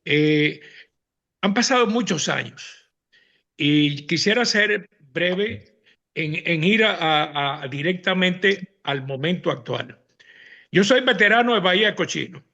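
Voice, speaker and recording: A man in his eighties with a Cuban accent speaks as a veteran panelist. His voice is high, bright and full of gravel, and he halts and repeats himself with emphasis. The sound is slightly dull and lo-fi. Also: expressive, slow pace. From Cuba. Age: 80s. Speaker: veteran exile activist, panel guest. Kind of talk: speech recounting personal and political history.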